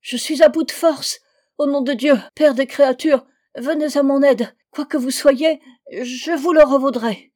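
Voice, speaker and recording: A man's voice: extremely high pitch, expressive, moderate pace, a little mellow, pitched above the performer's own voice. A woman voicing a desperate male drunkard stuck in a well, stammering and pleading in a storybook reading.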